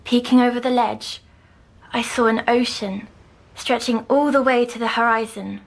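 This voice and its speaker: A woman playing a timid, dreamy young girl patient who recounts her nightmares in a hushed audio drama. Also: a little high, steady tone, moderate pace, poor audio. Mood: hushed wonder.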